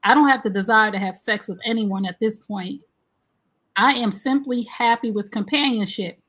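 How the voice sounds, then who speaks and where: A woman's voice: fairly high pitch, moderate pace. Chatty woman hosting a live show. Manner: chatty, animated storytelling. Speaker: host. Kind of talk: casual live-stream talk show.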